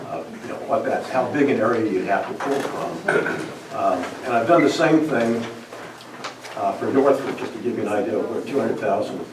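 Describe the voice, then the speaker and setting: A man on a town commission presenting his research with halting fillers at a public meeting. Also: on the low side. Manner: hesitant, many fillers, defensive. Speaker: commission member. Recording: echoey hall from far off with noise.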